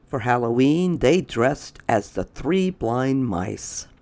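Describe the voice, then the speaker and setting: A man's voice: mid-range voice, lively intonation, slow pace, a little mellow. A man reading a children's storybook aloud as a storytime host. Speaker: storytime reader.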